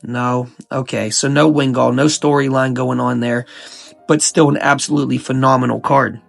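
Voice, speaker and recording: A young man with a cold hosts his channel, talking in an excited, casual way and repeating his hype words. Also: mid-range voice, moderate pace, creaky, soft background music. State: sick, coughing and sniffling. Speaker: YouTube host. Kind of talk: hyped casual commentary.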